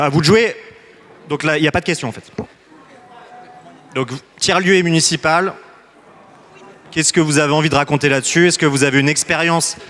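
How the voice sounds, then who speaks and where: A man's voice: fairly high pitch, fairly bright tone. A man facilitating a citizens' assembly, loose and joking, with many restarts.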